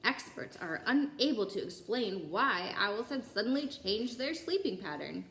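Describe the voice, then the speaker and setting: A woman reading a children's story aloud in an expressive way, with occasional small stumbles over the text. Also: medium pitch, unhurried. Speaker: story reader. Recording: roomy echo, clear background noise.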